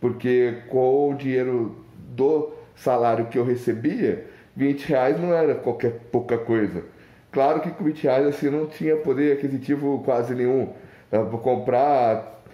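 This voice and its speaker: A man's voice: mid-range voice, moderate pace, echoey room, dull audio. A young man from Minas Gerais with a mineiro accent, thinking aloud in a casual, rambling vlog monologue full of restarts and fillers. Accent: Mineiro Brazilian Portuguese. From Minas Gerais, Brazil. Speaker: vlogger.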